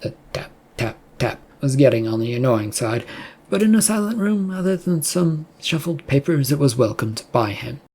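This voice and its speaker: An audiobook narrator with a mellow, relaxed tone and a husky edge. The pitch is middling as the scripted fiction is read out. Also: moderate pace. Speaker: story narrator.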